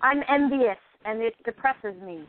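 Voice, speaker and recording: A woman's voice: on the high side, a little slow, slight background noise, natural voice. A woman acting the part of a depressed, envious, defensive actress of almost thirty in a radio play. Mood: gloomy, sardonic. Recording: thin phone-like audio.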